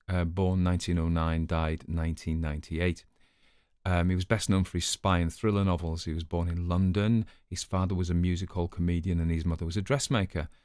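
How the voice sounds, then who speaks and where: A man's voice: deep voice, a little mellow, no room sound, clean studio sound. A man hosting a story reading, giving informal literary commentary in a chatty manner with offhand asides. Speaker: host and story reader.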